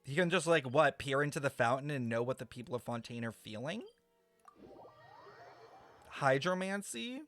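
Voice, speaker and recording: A man's voice: mid-range voice, normal speed, pauses often. A man giving casual, exclamatory reaction commentary as a game streamer. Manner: expressive, exclamatory. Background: game music.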